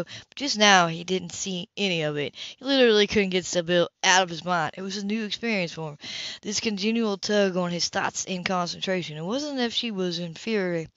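A woman's voice: on the low side, a bit bright, no echo. A woman reading a novel aloud as an amateur narrator, stumbling now and then and correcting herself. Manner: halting, misreads and corrects herself. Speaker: amateur audiobook reader. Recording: muffled lo-fi home recording.

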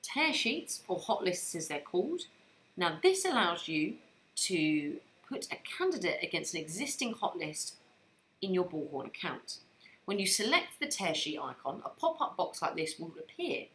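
A woman narrating a scripted software training tutorial in an instructional manner, explaining things step by step. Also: fairly low pitch, big pitch swings, normal speed.

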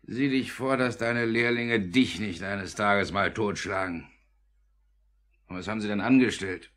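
A fairly deep-voiced rough criminal who likes to be in charge. He is blunt and sardonic, with a mocking edge, in the manner of 1950s German radio drama. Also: a little slow, fairly bright tone. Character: rough, sardonic male criminal accomplice, domineering.

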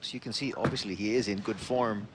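Man, bowling commentator, lowish voice, rising calls on big shots, loud noisy broadcast background. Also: fast. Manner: lively calls that rise on key shots. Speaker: play-by-play commentator. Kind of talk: sports commentary.

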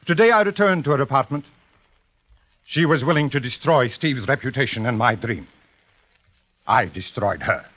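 An actor playing a proud, impassioned old Italian fencing master in a dramatic, pleading 1950s radio style. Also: male voice, medium pitch, lively intonation, normal speed, pauses often, a bit bright. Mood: resigned. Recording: dull vintage 1950s radio recording.

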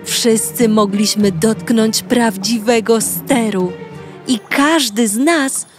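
Polish-accented woman, first-person kids' story voice, slightly high, slow, soft music under. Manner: narrating as a cheerful young girl in first person. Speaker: audio storyteller. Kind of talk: children's audio story narration.

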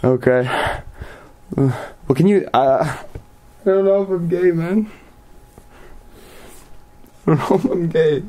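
A young man with a slightly high voice talks on a prank call. He stammers and hesitates, putting on a naive, distressed act as he goes. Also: slow, pauses often, warm tone. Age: young adult. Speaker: prank caller. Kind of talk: improvised prank phone call in character. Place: small bedroom, indoors.

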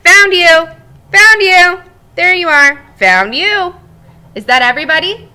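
A cheerful, chatty little egg fellow who enjoys his quiet time, voiced by a woman reading a storybook aloud. The voice sits high and bright, swinging up and down with lively storybook energy. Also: normal speed, heavily acted. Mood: searching. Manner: bouncy, expressive storytelling.

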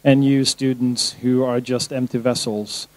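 A man's voice: mid-range voice, little pitch movement, a little slow, dry recording, poor audio. A man leading a training course, explaining an exercise to a group while standing in a conference room with a handheld mic. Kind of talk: workshop instructions to a group.

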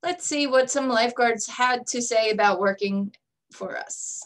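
Upbeat woman reading a recruiting pitch. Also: unhurried. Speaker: staffing director presenting to students. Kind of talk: scripted recruitment presentation.

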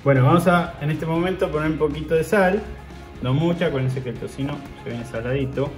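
A man in his thirties with an Argentine accent, hosting a cooking show and calmly talking viewers through each step as he works. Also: fairly high pitch, brisk, loud music behind the voice. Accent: Argentine Spanish. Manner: talks viewers through steps while working with his hands. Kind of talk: casual cooking demonstration. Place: outdoor grill patio.

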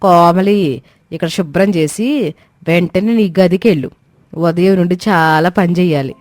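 A woman's voice: lowish voice, a little slow, a little mellow, no echo, close to an everyday voice. A woman voicing a domineering, scheming middle-aged mother-in-law with a commanding, self-righteous way of talking. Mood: falsely sweet, bossy.

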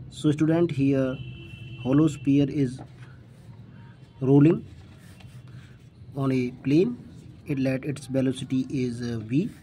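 A man with an Indian accent tutoring physics, working through a problem step by step in deliberate, halting phrases. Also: mid-range voice, dark tone, a little hiss or hum, low-quality recording. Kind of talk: step-by-step worked-problem lesson.

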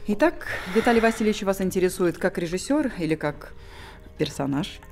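An actress in her forties playing a poised, bitter abandoned wife in a film drama, with a measured, theatrical delivery. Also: medium pitch, expressive, a little mellow, lightly acted. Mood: composed, wry. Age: 40s.

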